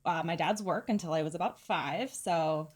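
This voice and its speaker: A middle-aged woman from California recounts her path into hairdressing, her voice sitting a little lower than most. She talks in an easygoing, rambling way, with plenty of "um"s and soft giggles. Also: moderate pace, slightly rough. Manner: relaxed, chatty, many fillers and giggles. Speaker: hairdresser guest. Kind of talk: casual interview storytelling.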